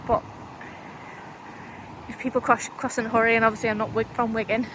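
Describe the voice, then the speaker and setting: Vlogger woman with a softened Liverpool accent, rambling and chatty, a touch high-pitched, recorded on a phone with traffic noise behind. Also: little pitch movement, a little fast. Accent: soft Liverpool with Lancashire influence. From Liverpool, England. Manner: rambling, chatty, with frequent fillers and laughs. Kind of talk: casual vlog chat. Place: town street, then in a parked car.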